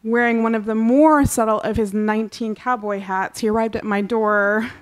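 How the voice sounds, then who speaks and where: Woman from Kansas, first language Urdu, reading her own essay. Slightly high voice, dry humor, clears her throat often. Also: steady tone, moderate pace, rough recording. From Kansas, USA. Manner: reads wryly with offhand asides and frequent throat clearing. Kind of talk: reading aloud a personal essay at a book launch. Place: live literary event.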